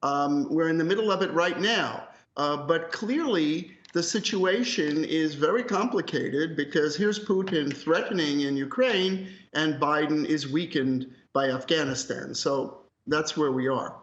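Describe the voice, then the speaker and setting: A man's voice: fairly high pitch, a little slow, few pauses. A man speaking as an academic guest analyst on live news, conversational but emphatic, opinionated and direct. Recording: roomy echo, slightly muffled call audio.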